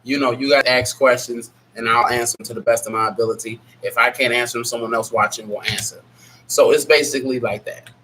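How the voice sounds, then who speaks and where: A man's voice: medium pitch, steady tone, fast talker, pushed and bright, a little hiss or hum, rough recording. Stuffy-nosed man chatting on a livestream. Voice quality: nasal. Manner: rambling, candid and blunt, reads out chat names. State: sick with a stuffy nose and scratchy throat. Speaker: livestream host. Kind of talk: casual live Q&A chat.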